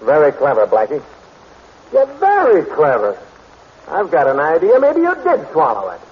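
An actor playing a brusque, sarcastic police inspector in 1940s radio drama style. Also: male voice, a little high, wildly swooping pitch, normal speed. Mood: stern, sardonic. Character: gruff, sardonic male police inspector. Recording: muffled 1940s radio recording.

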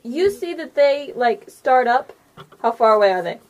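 Higher-voiced woman running a tabletop game. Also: lively intonation, normal speed. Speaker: game master. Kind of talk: improvised tabletop game narration.

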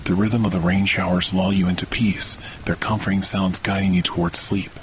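Very deep-voiced man guiding a sleep meditation. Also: flat delivery, a little hiss or hum, rough recording. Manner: slow, soothing, hushed delivery. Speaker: meditation guide. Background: faint rain sounds.

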